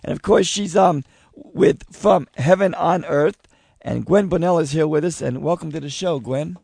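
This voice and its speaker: A man hosting a live radio talk show, loud and excitable, losing his voice. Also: on the high side, moderate pace, creaky, slightly rough, no room sound. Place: radio studio with live audience.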